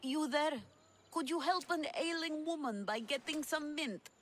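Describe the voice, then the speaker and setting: A sickly, weary servant woman in a game. Her voice sits a little high as she talks like someone worn down by farm life. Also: a little slow, fairly bright tone. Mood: weary, troubled. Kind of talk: video game cutscene acting.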